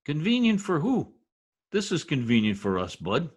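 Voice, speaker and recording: Man playing a brash, grumbling husband, swooping pitch. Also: huge pitch swings, moderate pace, a little mellow, husky, exaggerated voice. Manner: brash, slangy, exasperated. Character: blustery, grumbling husband working as census taker. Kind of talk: old-time radio comedy acting.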